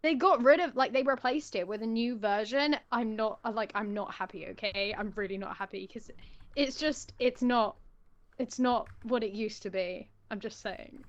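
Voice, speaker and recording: A woman born in America and living in England, co-hosting a casual chat stream, chatty and quick to laugh. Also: fairly high pitch, muffled recording. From born in the United States, lives in England. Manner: chatty, giggly, lots of fillers. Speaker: co-host.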